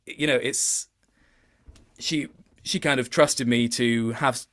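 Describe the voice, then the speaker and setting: A man's voice: mid-range voice, fairly even intonation, slow, a bit bright. A man speaking as a voice actor in a casual interview, thoughtful and self-deprecating, often feeling his way to the point.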